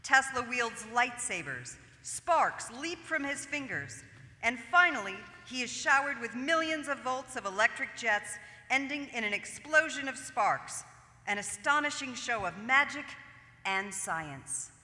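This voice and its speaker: A woman's voice: fairly high pitch, big pitch swings, unhurried, bright, forceful tone. A woman reading stage directions as dramatic, emphatic scripted narration in a theatre. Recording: echoey live theatre hall.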